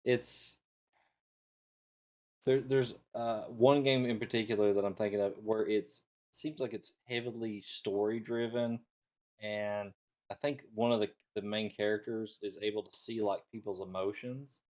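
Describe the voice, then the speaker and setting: Middle aged guy, lowish voice, casual podcast style chat, sort of thinking out loud. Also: steady tone, measured pace. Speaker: talk show co-host.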